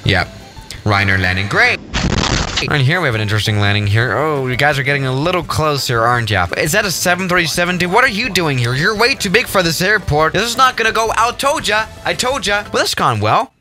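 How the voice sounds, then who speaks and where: A man's voice: on the high side, lively intonation, a little fast, over music. A young adult man giving casual, jokey gameplay commentary with a sarcastic, mock-exasperated manner. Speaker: gaming video host.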